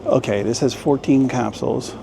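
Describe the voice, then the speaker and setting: A man's voice: mid-range voice, normal speed, gravelly. A man chatting casually as a travel vlogger in a joking, playful way, filming outdoors and in shops on a handheld camera. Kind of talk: casual vlog narration. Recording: outdoor street noise.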